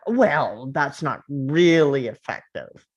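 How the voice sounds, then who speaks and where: A woman playing a nerdy, earnest know-it-all boy at a screenplay table read, halting and pedantic. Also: rumbling low, expressive, muffled recording, heavily acted.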